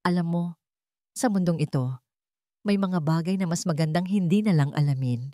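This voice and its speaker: Woman voicing male story villain, Filipino accent, quiet, emphatic, menacing, dry recording. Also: fairly low pitch, normal speed, no room sound, lightly acted. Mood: quiet menace.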